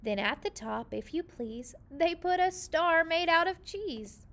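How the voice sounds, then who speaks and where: A woman reading a children's story aloud in an expressive, animated storytelling manner. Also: very high voice, unhurried. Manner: expressive, animated delivery with character voices. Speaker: storybook reader.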